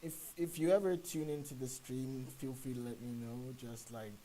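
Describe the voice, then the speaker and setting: A man's voice: medium pitch, steady tone, moderate pace, dark tone, low-quality recording. A man chatting casually and self-consciously on a live stream as he works, nervous and hesitant, over a hissy microphone. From Nigeria. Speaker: streamer and 3D sculpting artist. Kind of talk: casual live-stream chat while sculpting.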